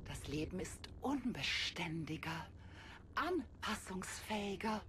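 An actress playing a haughty, sardonic villainess in a game, speaking in a theatrical, condescending way. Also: female voice, medium pitch, a bit bright, a little hiss or hum. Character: haughty, sardonic female Sith villain, wounded but scornful. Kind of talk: dramatic game voice acting.

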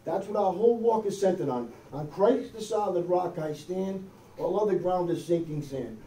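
A man's voice: high-pitched, animated, moderate pace, mellow, slight background noise. A middle-aged male pastor preaching a sermon in a conversational style, often checking in with "okay?" and "amen?". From United States. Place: small church hall with wood-paneled wall. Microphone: far camera, no visible mic. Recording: echoey room from far off.

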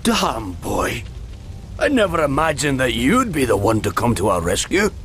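A man playing a gruff, hearty dwarf adventurer with a blustery, folksy manner in a video game. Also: medium pitch, wildly swooping pitch, normal speed, fairly bright tone, soft background music. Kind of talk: video game cutscene acting.

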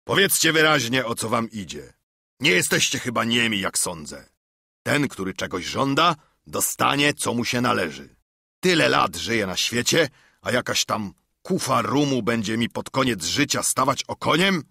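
A man plays an older pirate captain from a storybook, menacing and scornful. His husky voice swaggers and taunts, swinging widely in pitch as he asserts command. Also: very animated, normal speed, sharp tone, no echo, studio quality, exaggerated voice. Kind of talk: audiobook character acting.

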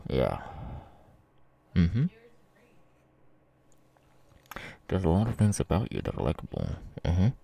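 A man voicing a menacing, mocking ex-convict kidnapper in an ASMR audio roleplay, with a halting, sneering drawl. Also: low voice, wildly swooping pitch, gravelly, warm tone, heavily acted. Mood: creepy, teasing.

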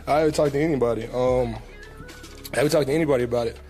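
A young man, a pro football player, answering reporters at a press conference in a relaxed, casual way, with many fillers and repeated words. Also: mid-range voice, quick pace, faint music behind. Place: team press room, indoors. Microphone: table mic in front.

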